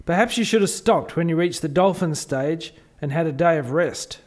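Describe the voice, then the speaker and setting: A formal alien assessor, played by a man in a radio drama. The voice is high for a man, and the delivery is diplomatic, polite and firm. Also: moderate pace, a little mellow, close to an everyday voice. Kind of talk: radio play acting.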